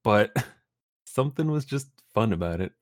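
A man's voice: lowish voice, lively intonation, unhurried, dry recording, clean studio sound. A young man, a streamer guest on a podcast, talking casually with easy laughs and rambling restarts. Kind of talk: casual interview chat.

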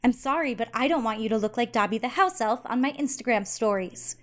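A woman's voice: on the high side, brisk, a bit bright, natural voice. A young woman playing a catty, condescending rich socialite in an audio drama, with a snide, gossipy, sarcastic drawl. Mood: pushy. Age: young adult. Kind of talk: audio drama acting, conversational.

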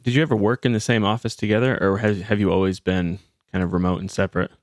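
A man's voice: on the low side, fairly even intonation, fast, no room sound, clean studio sound. A man hosting an interview podcast, moving between scripted introduction and questions. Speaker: podcast host.

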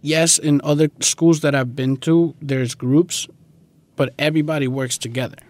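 A man's voice: a little high, steady tone, moderate pace, darkish tone, no room sound. A young man from Guatemala speaking informally and with slang as he answers questions in a casual interview, leaning often on filler phrases. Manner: informal, slangy, peppered with "like" and "you know".

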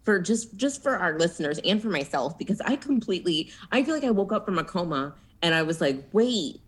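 A woman co-hosting a podcast in casual chat, animated and giggly, with lively storytelling asides. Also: lowish voice, brisk, slightly rough. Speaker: podcast co-host.

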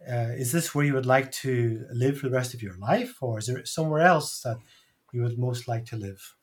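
A man's voice: mid-range voice, moderate pace. A man co-hosting an interview podcast, chatting in an upbeat, easygoing way. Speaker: podcast co-host. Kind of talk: casual chat.

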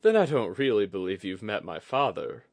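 A young male commander from a fantasy audiobook, voiced by a man reading. He is composed and shrewd, speaking in measured, courteous phrases with a dry edge. The recording is very dry. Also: on the low side, big pitch swings, no echo. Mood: amused. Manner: measured, well-spoken, dryly amused. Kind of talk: audiobook fantasy character acting.

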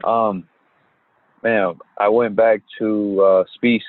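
A young man and basketball player from Indiana who answers interview questions in an unhurried way, with many pauses. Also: on the low side, level tone, no echo. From Gary, Indiana. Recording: tinny phone-like line.

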